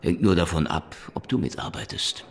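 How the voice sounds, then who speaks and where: Radio drama: a menacing interrogating cop, deep and gravelly. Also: male voice, moderate pace. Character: tough, sardonic veteran cop. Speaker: police detective. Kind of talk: radio play acting.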